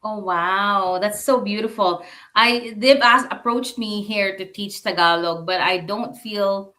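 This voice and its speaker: Bright-voiced Filipino woman host, unhurried. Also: medium pitch. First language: Bisaya (Waray). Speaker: radio show host. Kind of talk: casual live talk show hosting with Tagalog mixed in.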